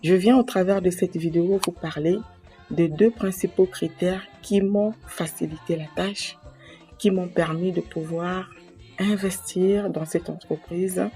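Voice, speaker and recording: A woman from Congo-Brazzaville, talking to camera in a slow, earnest way to explain her advice and quoting proverbs. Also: lowish voice, steady tone, dark tone, faint music behind. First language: a Congolese language. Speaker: association president giving advice.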